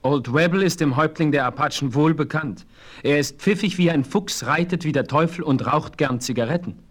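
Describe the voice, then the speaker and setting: An actor playing a noble Apache chief with ceremonial, dignified speech in a western audio drama. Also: male voice, on the high side, a little fast, natural voice. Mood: stately. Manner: ceremonial, stately, flowery formal speech. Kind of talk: 1970s German western audio drama acting.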